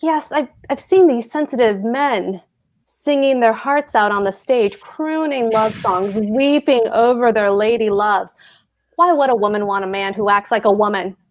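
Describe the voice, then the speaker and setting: A woman's voice: a little high, animated, moderate pace, lightly acted. A woman at a table read playing a pompous, dutiful husband and government clerk who declaims his opinions emphatically. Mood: lecturing, scornful. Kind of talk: cold table read of a stage play.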